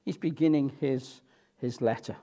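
A man preaching an evening sermon, teaching through a Bible passage in an earnest, explanatory way with frequent fillers and restarts, and slowing down when he reads scripture. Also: medium pitch, mellow.

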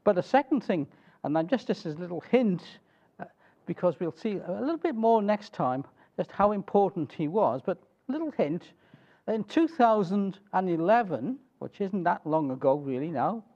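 A middle-aged man with a Welsh accent speaking as a preacher, telling a story to a congregation in a halting, conversational way with little check-in questions. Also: high voice, big pitch swings, darkish tone, highs cut off. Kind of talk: informal sermon telling a missionary biography to a congregation.

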